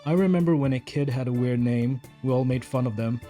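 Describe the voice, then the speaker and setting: A man's voice: mid-range voice, brisk, dark tone, faint music behind, close to an everyday voice. A man playing a blunt, defensive, fed-up security guard rambling through a confession in an audio story monologue. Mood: defensive, ranting.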